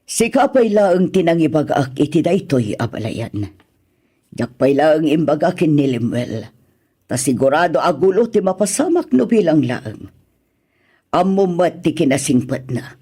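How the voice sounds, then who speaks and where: A man's voice: on the high side, very animated, a little slow, mellow, husky, full character voice. A man with an Ilocano accent playing an aggrieved older parent, earnestly pleading for a wronged son in a radio drama. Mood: aggrieved, worried.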